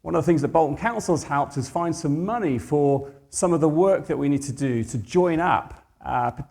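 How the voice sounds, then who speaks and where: A man hosting an event, speaking informally and haltingly as he introduces the next speaker. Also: on the high side, creaky, warm tone. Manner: hesitant, halting.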